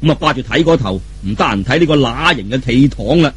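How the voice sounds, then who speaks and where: Husky, animated Cantonese male storyteller. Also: medium pitch, fast talker. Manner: theatrical, colloquial, with vivid sound effects and exclamations. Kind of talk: animated dramatic Cantonese storytelling, colloquial audiobook narration.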